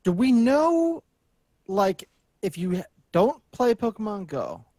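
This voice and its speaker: A man's voice: high voice, sing-song, slow pace, mellow, no echo. A man chatting casually as a gaming show panelist, giving blunt, playful opinions. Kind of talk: casual chat, trailer reaction. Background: prominent music behind the voice.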